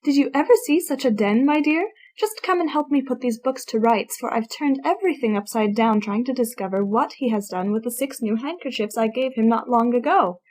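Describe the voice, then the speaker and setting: A woman plays a bustling motherly landlady in a somewhat high voice. She is brisk and exasperated, a character in a dramatized classic novel. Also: a little mellow, lightly acted. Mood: fussy exasperation. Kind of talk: audiobook dramatic reading.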